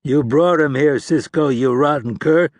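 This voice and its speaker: A man's voice: on the high side, moderate pace, gravelly, no echo, voice pushed up. A man voicing a hard, menacing male gunslinger who speaks with scorn. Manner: threatening, scornful.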